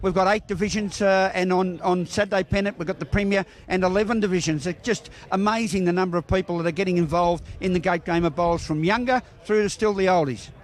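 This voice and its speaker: A man's voice: high-pitched, fast, few pauses. A man reporting on bowls, interviewing club members outdoors at a bowling green in a jovial, teasing way.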